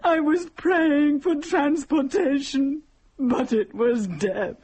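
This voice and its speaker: An actress playing a starving, embittered village widow and mother near death in an audio drama, speaking mournfully and solemnly with a husky voice. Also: high voice, slow pace, darkish tone. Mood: anguished.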